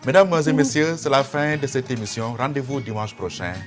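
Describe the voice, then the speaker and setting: A man hosting a political TV interview, asking questions in a measured, formal way. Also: mid-range voice, music in the background. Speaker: TV show host. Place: TV studio.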